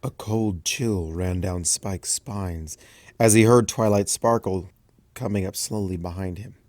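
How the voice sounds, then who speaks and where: A man reading a story aloud, narrating in an expressive, animated way. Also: low-pitched, unhurried, no echo. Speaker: fanfic reader.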